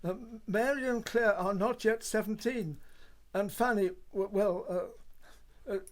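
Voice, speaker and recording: A man's voice: high voice, measured pace. An actor playing a genial, fussy middle-aged Georgian father in a radio play, speaking politely with some hesitant stammering. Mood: flustered. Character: genial fussy Georgian father, flustered but hospitable host. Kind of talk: radio play period acting.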